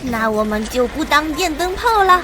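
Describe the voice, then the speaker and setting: High-voiced, pouty young police junior. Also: female voice, big pitch swings, moderate pace, over music. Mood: teasing. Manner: pouty, exclamatory. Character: indignant, loyal young female police junior. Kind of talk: animated drama voice acting.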